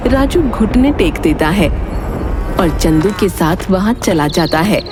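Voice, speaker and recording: A woman narrating a scripted animated story. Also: on the low side, unhurried, music in the background.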